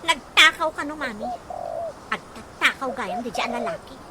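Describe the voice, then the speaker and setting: A woman's voice: sits high, moderate pace, very noisy, natural voice. A woman acting a bitter, aggrieved young wife in an Ilocano radio drama, complaining and scornful in an Ilocano accent. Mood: dismayed, complaining.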